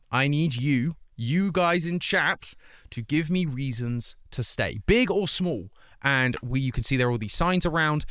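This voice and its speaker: A man hosting a live stream who talks straight to his chat in a casual, rambling way, with earnest pleas and plenty of restarts. His mid-pitched voice stays smooth and clear, though he is still getting over an illness. The sound is dry and close. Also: fairly bright tone, no echo. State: recovering from an illness, voice not at full strength. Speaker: livestreamer hosting a charity stream.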